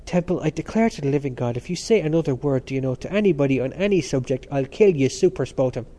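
A man's voice: fairly high pitch, animated, fast, lightly acted. A man reading as a scornful, swearing young student. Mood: exasperated. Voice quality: blunt, swearing, loud. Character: rough-tongued young male student, scornful.

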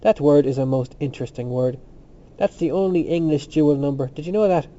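A man voicing an excitable young male student in a story, eager and insistent as he declaims. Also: fairly high pitch, fairly quick, dull audio, lightly acted.

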